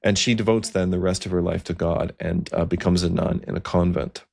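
A man with a low-pitched voice hosts a history podcast. He narrates like an earnest storyteller working loosely from notes, pausing to explain things as he goes. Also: fairly even intonation, gravelly, a little mellow, breathy edge. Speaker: podcast host. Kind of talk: semi-scripted historical storytelling.

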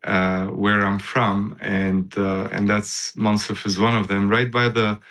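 A man in his fifties with a Lebanese accent, talking haltingly and casually as an interview guest. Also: on the low side, little pitch movement, a bit bright. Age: 50s.

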